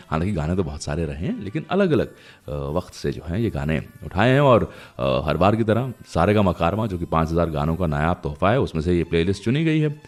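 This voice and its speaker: A man presenting a radio show in a conversational, nostalgic storytelling way, pausing now and then as he goes. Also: low voice, darkish tone.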